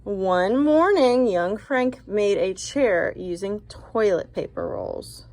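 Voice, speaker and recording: Slow-paced woman reading aloud to children. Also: mid-range voice. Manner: expressive, guiding, asks the listeners questions. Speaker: teacher reading a picture book aloud. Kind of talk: read-aloud for children with commentary asides.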